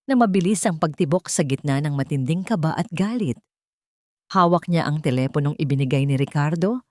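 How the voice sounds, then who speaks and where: Low-voiced woman narrating a dramatic story. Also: a little mellow, no echo, clean studio sound.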